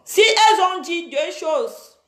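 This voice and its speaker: A woman's voice: very high-pitched, expressive, slow pace, fairly bright tone. Indignant woman scolding, echoey room. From Cameroon. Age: 40s. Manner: indignant, scolding, repeats herself. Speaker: social media personality. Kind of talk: angry Facebook live rant.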